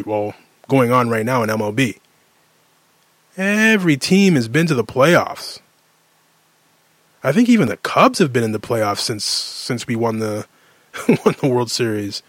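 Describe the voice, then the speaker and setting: A man's voice: medium pitch, animated, measured pace, a bit bright, no echo. Exasperated Canadian man ranting, close dry sound. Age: late 30s. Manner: exasperated, emphatic, repeats phrases for effect. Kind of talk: casual sports rant monologue.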